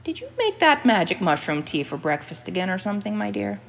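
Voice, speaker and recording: A woman's voice: mid-range voice, sing-song, brisk, vocal fry, voice pushed up. A woman voicing an exasperated older Scottish mother with a thick accent. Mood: incredulous.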